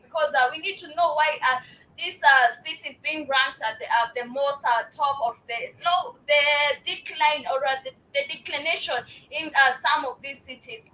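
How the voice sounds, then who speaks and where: A Kenyan woman with a strained, raspy voice hosting a live show, commenting on news with many fillers and restarts. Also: high-pitched, pushed and bright, recorded from a distance, slight background noise, low-quality recording.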